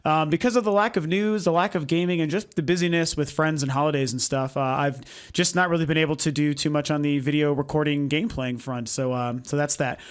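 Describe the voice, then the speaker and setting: A hoarse young man from the United States who is losing his voice, hosting a casual, rambling video game vlog with lots of ums and uhs. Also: on the high side, steady tone, brisk. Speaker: video game vlogger, channel host. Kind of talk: casual opinionated vlog talk. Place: home recording setup.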